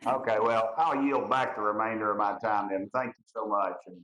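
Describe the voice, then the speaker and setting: A congressman with a lowish voice and a Tennessee drawl questions witnesses at a hearing. He is folksy and plain-spoken but courteous. His voice comes with noticeable room echo. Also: steady tone, normal speed, fairly bright tone, slight background noise. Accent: Southern US, Tennessee. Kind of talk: informal questioning at a formal hearing. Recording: roomy, echoing hearing audio.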